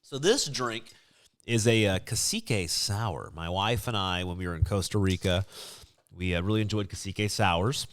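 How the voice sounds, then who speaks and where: A man co-hosting a podcast, chatting casually and musing out loud as he tastes snacks, in an upbeat, chatty way. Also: low-pitched, moderate pace, dry recording. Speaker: podcast co-host. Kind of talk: casual snack-tasting chat.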